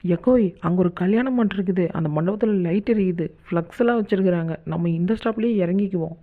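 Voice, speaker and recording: A woman's voice: lowish voice, quick pace, natural voice. A woman voicing a cheery, coaxing cartoon village woman with a colloquial Tamil Nadu accent. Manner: colloquial, chummy endearments. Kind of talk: cartoon comedy skit dialogue. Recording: tinny phone-like narrow band.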